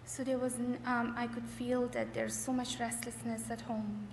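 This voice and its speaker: Higher-voiced middle-aged woman, very echoey hall. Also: level tone, normal speed, vocal fry. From Afghanistan. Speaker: church member giving testimony. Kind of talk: personal testimony in short interpreted phrases. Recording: echoey hall with faint noise.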